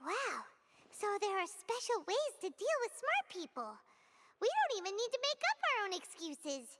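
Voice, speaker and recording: A woman voicing a small, childlike, excitable female fairy companion in a video game, with a squeaky voice and a blunt manner. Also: normal speed, very noisy. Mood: impressed. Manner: refers to self in third person, blunt. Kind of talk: animated game character acting.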